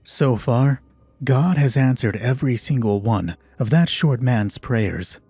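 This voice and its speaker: A man narrating a scripted, dramatic horror story as host of a solo roleplay, with measured, deliberate phrasing. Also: medium pitch, warm tone, husky, no echo, soft background music.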